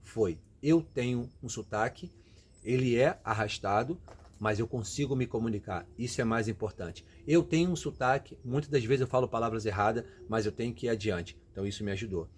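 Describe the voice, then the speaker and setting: A man's voice: medium pitch, a little fast, slight background noise. A Brazilian man giving emphatic, motivational advice directly to his viewers in a casual way. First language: Portuguese. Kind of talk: motivational casual talk to camera.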